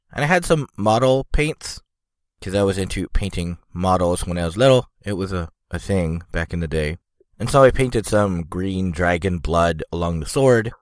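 A congested, stuffed-up man rambles through a casual podcast monologue in a low voice. His talk drifts, full of "you know" and "like." The sound is dry, with no room echo. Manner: meandering, lots of "you know" and "like". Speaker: podcast host.

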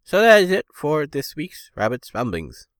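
A man's voice: a little high, huge pitch swings, normal speed, no echo, clean studio sound. A man hosting a solo podcast, rambling casually with lots of fillers, his voice stuffed up and congested. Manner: rambling, filler-heavy, easygoing. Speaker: podcast host.

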